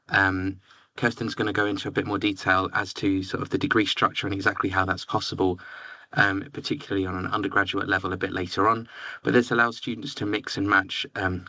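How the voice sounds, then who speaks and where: A young Englishman with a London-area accent, presenting slides at a relaxed pace with plenty of "um"s and "sort of"s. His voice sits a little low but rings bright and forward, and the recording is dry and close with almost no room sound. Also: dry recording. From England. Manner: relaxed, filler-heavy, says "sort of" often. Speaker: university representative. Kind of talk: presentation to education agents.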